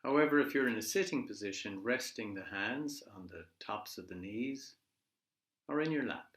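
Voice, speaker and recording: A man leading a guided body scan meditation in a measured, gently instructive way, leaving pauses between phrases. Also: fairly low pitch, expressive. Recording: echoey room.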